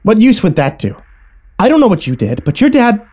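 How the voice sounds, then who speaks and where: Bitter mother played by a male narrator, raised pitch, accusing and scornful, for a dark story. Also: wildly swooping pitch, unhurried, full character voice. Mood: scornful, exasperated. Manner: scornful, insistent.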